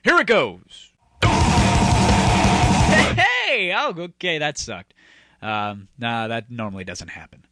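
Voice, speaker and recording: A man with a fairly high, very animated voice hosts a comic gaming show. He is sarcastic and theatrical, playing up a mock-grandiose presenter style, with music clearly behind him. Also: slow pace, long pauses. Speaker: host of a gaming audio show. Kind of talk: sarcastic comedic show monologue.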